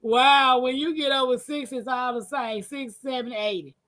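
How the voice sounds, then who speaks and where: A woman's voice: high voice, fairly even intonation, normal speed, a bit bright. A woman, hosting a casual livestream chat and teasing her co-host with frequent laughter. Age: 40s.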